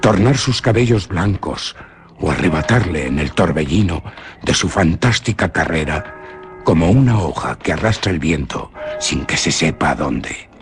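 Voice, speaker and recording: A man's voice: sits low, animated, normal speed, soft background music. An actor with a Spanish accent from Spain playing a proud but fearful young nobleman and hunter in a radio drama, dramatic in manner. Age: young adult. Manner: dramatic, swings from boastful to fearful.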